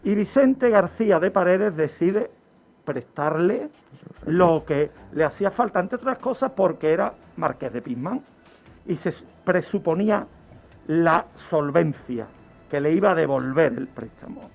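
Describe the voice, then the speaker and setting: A man tells true-crime history on radio in a didactic way, with dramatic pauses and rhetorical questions. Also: on the high side, lively intonation, creaky. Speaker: criminologist, radio segment contributor. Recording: dull lo-fi radio recording with background music.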